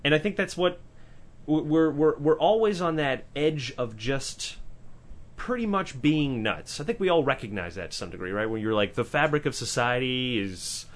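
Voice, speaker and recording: A man with a powerful voice co-hosting a podcast in casual discussion, talking in an animated way with swearing, restarts and asides. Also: medium pitch, moderate pace. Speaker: podcast co-host.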